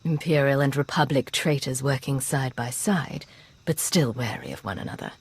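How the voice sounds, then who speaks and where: A woman's voice: a bass voice, lively intonation, normal speed, slightly rough, heavily acted. A woman voicing a composed imperial spy in a video game, controlled and probing. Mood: businesslike. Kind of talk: video game character acting.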